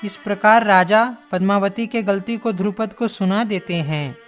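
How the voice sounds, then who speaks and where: A male narrator reads a scripted cartoon moral tale. His voice is high for a man and has faint music beneath it. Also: moderate pace.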